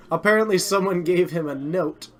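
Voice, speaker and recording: A man's fairly high voice, strained as he slowly loses it, runs a live card game stream. He chats eagerly, hypes the match and laughs at his own slips. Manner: chatty, excitable, self-deprecating. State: losing his voice. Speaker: stream host and commentator.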